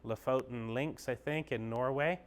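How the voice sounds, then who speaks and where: A man's voice: mid-range voice, normal speed, clean tone. A man giving a casual, upbeat walkthrough of an indoor golf simulator bay, explaining each screen as he clicks through it. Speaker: golf pro giving a tour.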